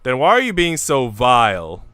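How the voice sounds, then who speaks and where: A man's voice: mid-range voice, huge pitch swings, moderate pace, bright, forceful tone, clear, resonant tone, no echo, soft background music. A man voicing a cool, protective housemaid in a visual novel, composed and politely sardonic. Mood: indignant. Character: cool, calm, protective housemaid, defiant and dry.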